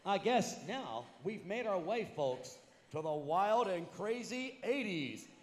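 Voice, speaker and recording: Upbeat male conference emcee, high and animated, in an echoing hall. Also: takes it slowly. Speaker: event host. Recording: echoey hall, long reverb.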